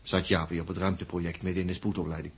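An actor playing an easygoing, wry spaceship mechanic in a 1960s radio drama, musing aloud in a colloquial, halting way. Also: male voice, low-pitched, level tone, husky. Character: easygoing, wry male spaceship mechanic, philosophizing and self-mocking. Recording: noisy old 1960s radio drama recording.

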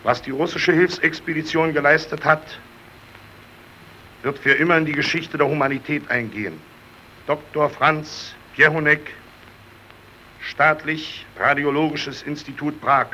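A man's voice: fairly high pitch, a little slow, bright, forceful tone, a little hiss or hum, rough recording. A man playing a formal reader of official letters in a radio play, precise and solemn. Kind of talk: scripted documentary reading in radio play.

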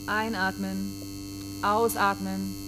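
An actress playing a hospital nurse in a radio drama, giving measured, impersonal instructions. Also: female voice, medium pitch, little pitch movement, close to an everyday voice. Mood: impersonal, instructing. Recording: loud humming noise, distant sound.

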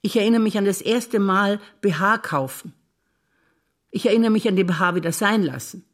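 An older woman from Bavaria, a stage actor, reciting memories in a measured, reflective way. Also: mid-range voice. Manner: measured, reflective, list-like delivery.

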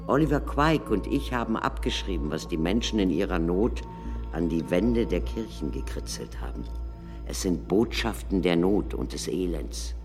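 An older man playing a grave scholarly excavator in a radio drama, speaking emphatically and deliberately with dramatic pauses. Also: sits low. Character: grave scholarly excavator recounting a civilisation's collapse. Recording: reverberant hall, music underneath.

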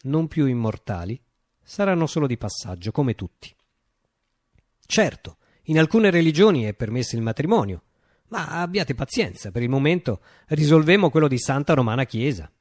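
Actor as a theatrical, sweepingly expressive male revolutionary. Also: medium pitch, normal speed, no echo, studio quality, natural voice. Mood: declamatory, earnest. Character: visionary revolutionary man recounting a utopian upheaval. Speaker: radio theatre actor performing a monologue. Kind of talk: theatrical monologue, radio drama storytelling.

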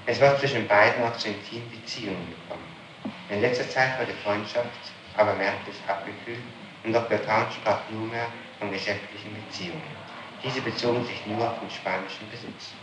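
A man working as a public prosecutor, questioning formally and reading out testimony in a deliberate, official manner. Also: fairly low pitch. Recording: noisy echoey archival tape recording.

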